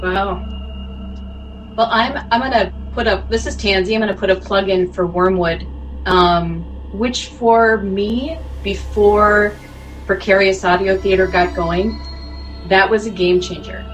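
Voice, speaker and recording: Emphatic female panelist over clear music. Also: mid-range voice, fairly even intonation, a little slow, low-quality recording. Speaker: audio drama producer and panelist. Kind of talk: casual panel discussion.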